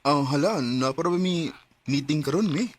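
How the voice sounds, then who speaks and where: A man's voice: fairly high pitch, moderate pace, slight background noise, close to an everyday voice. A man playing a meek, devoted young suitor in a radio drama, with a Filipino Bisaya accent. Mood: hesitant. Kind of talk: Philippine radio drama acting.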